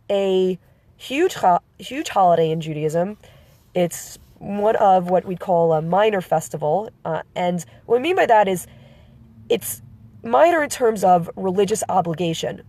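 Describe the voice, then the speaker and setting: Woman with a cold, lowish halting voice. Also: darkish tone, no room sound. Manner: halting, with many fillers and self-corrections. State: has a slight cold. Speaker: host explaining a holiday to live viewers. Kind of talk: casual live-stream explainer.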